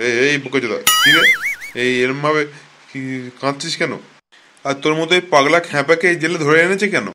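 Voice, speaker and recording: A man doing a fast, sharp, blustering comic rogue. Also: a little high, fairly even intonation, soft background music. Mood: mocking, impatient. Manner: blustering, crude, hectoring. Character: brash bullying comic rogues: crooked cops and jailbirds. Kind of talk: fast comic cartoon dialogue in colloquial Bengali slang.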